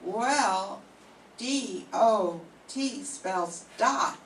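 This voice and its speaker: A woman's voice: medium pitch, animated, very slow. An older woman reading the part of a polite young visitor who turns out to be a murderer, in an old-time radio mystery, with eager, exclaiming lines. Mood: eager, dramatic. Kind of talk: 1940s radio mystery acting. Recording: echoey room, distant mic, audible noise.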